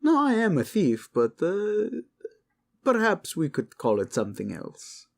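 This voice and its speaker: Devilish thief, male, put on by a man: playful, flirtatious, higher-set voice, fantasy audio drama. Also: wildly swooping pitch, a little slow, vocal fry, full character voice. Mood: sly, playful. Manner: teasing, cheeky.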